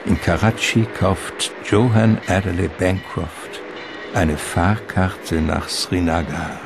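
An actor playing the ominous, dramatic narrator of a gothic radio drama. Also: male voice, sits low, steady tone, slow pace, vocal fry, exaggerated voice. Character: ominous male storyteller of a gothic vampire tale. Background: music.

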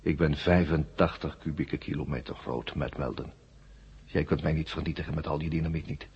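A man playing a vast, boastful alien machine brain in a science fiction radio drama, speaking in a cold, measured way. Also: a bass voice, fairly even intonation, breathy edge, heavily acted. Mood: cold menace. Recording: dull, noisy old radio recording.

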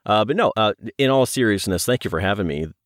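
A man's voice: on the low side, lively intonation, fast talker, no room sound, studio quality. A middle-aged man talking as a guest in a casual interview, chatty, enthusiastic and a little self-deprecating. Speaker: audiobook and audio drama producer, interview guest.

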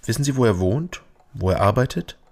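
An actor playing a terse, matter-of-fact private detective who narrates and questions people in a German radio crime drama. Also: male voice, medium pitch, animated, fairly quick, lightly acted. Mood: probing. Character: male East Berlin private detective, ex-policeman, sober and probing.

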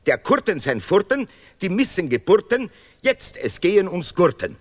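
An actor playing a pompous, self-praising history professor who declaims in deliberately broken German, in a stylised radio play. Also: male voice, high voice, sing-song. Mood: excited reciting. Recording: reverberant hall-like studio sound.

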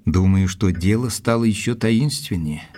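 An actor playing a thoughtful gentleman who narrates his own account in an audio drama, measured, literary and reflective. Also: fairly low pitch, no room sound, soft background music, lightly acted. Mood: reflective narration.

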